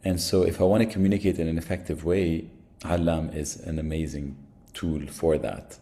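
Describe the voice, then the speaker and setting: A man with a Lebanese accent hosts an online workshop over a video call. His voice is low and warm, and his remarks come quick and upbeat. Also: little pitch movement. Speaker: workshop host.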